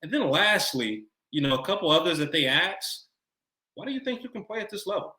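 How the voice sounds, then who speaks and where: An American man with a bright, forceful voice in a roomy, echoing space. He coaches the listener like an expert advisor, stressing key points and repeating them for emphasis. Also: sits high, big pitch swings, fairly quick. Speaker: college recruiting expert. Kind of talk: advice to camera for students.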